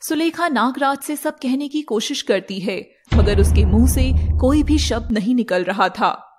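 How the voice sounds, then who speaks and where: A woman narrating a scripted animated fairy tale as storyteller, with noise behind her. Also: fairly high pitch, unhurried.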